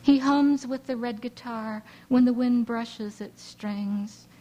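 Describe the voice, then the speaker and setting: A woman poet raised speaking Hawaiian Pidgin English, giving a measured reading of her poems with halting asides between them. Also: fairly high pitch, dark tone, dull audio. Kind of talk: poetry reading to an audience.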